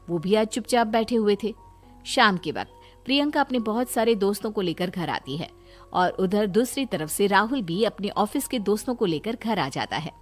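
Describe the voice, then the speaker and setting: A woman narrating a scripted moral story as the storyteller. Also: mid-range voice. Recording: music bed behind voice.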